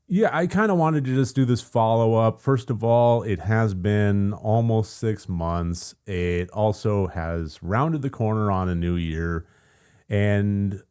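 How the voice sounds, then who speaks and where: Deep-voiced American man, gravelly from just waking up; chatty, rambling vlog host. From United States. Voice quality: gravelly morning voice. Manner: chatty and rambling, with fillers and soft laughs. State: just woken up, voice deeper than usual. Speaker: channel host. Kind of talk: casual vlog update.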